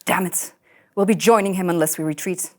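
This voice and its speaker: A woman's voice: fairly low pitch, a little fast, darkish tone, lightly acted. A woman playing a stern, commanding starship captain in a sci-fi reading, curt and authoritative. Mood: angry, stern. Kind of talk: sci-fi comedy table read.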